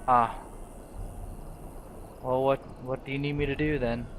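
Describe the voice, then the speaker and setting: A man's voice: mid-range voice, flat delivery, unhurried, slight background noise, lightly acted. A man voicing a polite, hesitant young female mage recruit in a video game role play, tentative and deferential. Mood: puzzled, uneasy.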